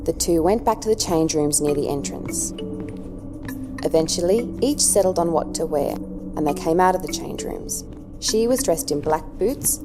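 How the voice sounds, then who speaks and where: A woman narrator reading scripted story narration for an audio drama. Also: sits low. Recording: music underneath.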